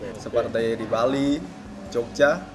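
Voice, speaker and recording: A man from Kebumen in Central Java calmly answering interview questions. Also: medium pitch, slow pace, over music.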